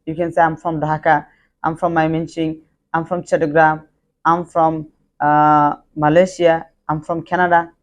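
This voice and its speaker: A man's voice: on the high side, level tone, moderate pace, lo-fi sound. Man teaching simple English. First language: Bengali. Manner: repeats example sentences in drills, simple phrasing. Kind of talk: online language lesson.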